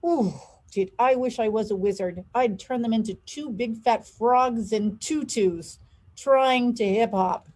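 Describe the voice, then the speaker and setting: A woman with a fairly high voice reads a children's story aloud. Her narration is expressive, and she stretches key words with deliberate emphasis. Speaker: school superintendent reading a children's story aloud.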